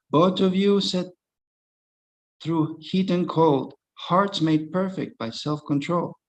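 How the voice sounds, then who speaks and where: A man voicing Lord Vishnu, a divine male god, speaking slow, measured rhyming verse to comfort his mother. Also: a little high, creaky, voice pushed up, rough recording.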